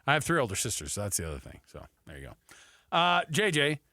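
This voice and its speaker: A man with a mid-pitched voice hosts casual sports talk in a very dry studio. He speaks conversationally and often repeats a phrase to drive it home. The microphone is close. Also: huge pitch swings, brisk, pushed and bright, no room sound. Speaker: sports radio host. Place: radio studio.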